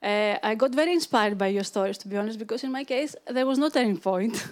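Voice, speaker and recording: A woman with a Greek accent talks as a panellist. Her pitch sits a little high. She relates her personal story in an informal, conversational style. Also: fast. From Greece. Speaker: HR director, panel speaker.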